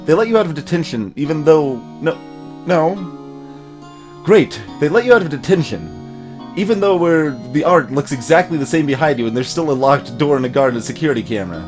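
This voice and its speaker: A man's voice: on the high side, animated, fairly quick. A man hosting a video game let's play, mixing acted dialogue with joking, digressive asides. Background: soft game music.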